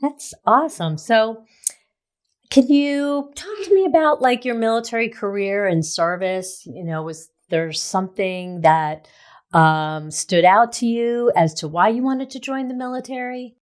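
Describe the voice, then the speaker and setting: A woman's voice: fairly high pitch, measured pace. A woman hosting an interview podcast, upbeat and enthusiastic, asking questions with a few restarts. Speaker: podcast host. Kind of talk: casual interview.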